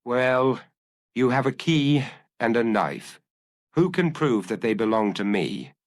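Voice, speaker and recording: A male narrator plays a cornered suspect in a detective audiobook. His pitch is lifted and swings about, nervous and defensive, as he struggles to sound unconcerned. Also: expressive, slow pace. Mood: defiant bluffing. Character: fearful, cornered male murder suspect feigning indifference. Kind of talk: audiobook character acting.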